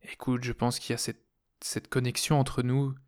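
A man's voice: medium pitch, little pitch movement, moderate pace, darkish tone, close to an everyday voice. Man reading an earnest, pleading male astronaut. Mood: hesitant, pleading. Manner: reasoning, pressing.

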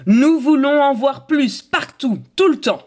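A woman's voice: sits high, animated, unhurried, mellow. An actress playing a determined, idealistic woman in a scripted audio drama, speaking with insistent, declamatory phrasing. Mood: resolute, impassioned. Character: determined, idealistic woman demanding more radio fiction.

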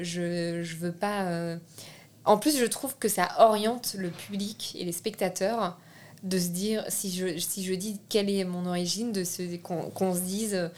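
A woman's voice: on the low side, measured pace, a little hiss or hum. A young woman from France, a filmmaker being interviewed, talking casually with many hesitations and restarts. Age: young adult. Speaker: filmmaker guest.

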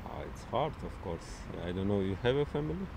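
A man being interviewed outdoors, speaking haltingly with many fillers as he searches for words. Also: fairly low pitch, big pitch swings, creaky. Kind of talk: casual street interview. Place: outdoors on a city street. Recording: outdoor street noise with traffic.

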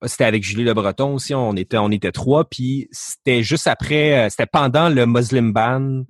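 A man's voice: medium pitch, normal speed. A man, a podcast guest talking casually and hesitantly, with many fillers and restarts. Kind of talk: casual interview talk.

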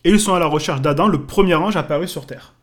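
A man with a somewhat high voice co-hosts a casual podcast. He runs through references at a brisk clip, with short agreeing replies in between. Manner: brisk, says "voilà" often. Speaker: podcast co-host. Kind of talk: casual chat listing references.

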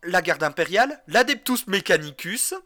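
Male, high sharp voice, geeky enthusiast co-hosting a casual gaming podcast. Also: animated, normal speed. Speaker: co-host of a video game podcast.

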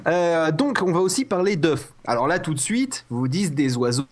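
Male podcast host with a slight cold, loose and jokey, fillers everywhere, fairly high voice. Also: expressive, moderate pace. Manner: rambling, jokey, many fillers and restarts. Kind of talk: casual comedic podcast chat.

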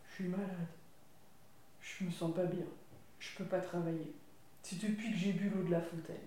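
A woman's voice: on the low side, moderate pace, slight background noise, voice pushed down, lightly acted. A woman voicing a sick, listless male farm dog who moans faintly. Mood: sickly. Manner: faint, flat moaning. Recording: very echoey room.

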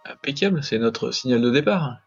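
A man's voice: mid-range voice, lively intonation, moderate pace, creaky, slightly rough. A man playing a tabletop roleplaying game, talking casually on a lo-fi recording. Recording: dull lo-fi voice channel, music behind.

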